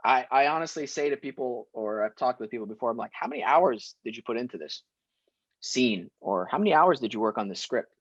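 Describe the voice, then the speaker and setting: Young man, born in Syria, mid-pitched, casual and emphatic, laughing often, dry room. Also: animated, a little fast, slightly rough, no echo, dull audio. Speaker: guest actor. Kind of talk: casual interview chat.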